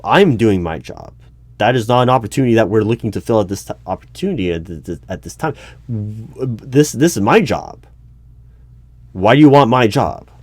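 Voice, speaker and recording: A man's voice: on the low side, a little mellow, dry recording. A man playing an exasperated, bureaucratic human resources manager in a one-sided interview roleplay, hesitating and stammering when flustered. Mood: flustered, indignant. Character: exasperated, officious human resources hiring manager at secretive agency. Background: computer keyboard typing.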